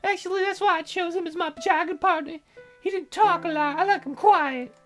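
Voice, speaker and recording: A woman's voice: very high voice, a little fast, soft background music, pitched above the performer's own voice. A woman voicing a sweet, shocked young woman jogger in a visual novel. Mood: soft, wistful.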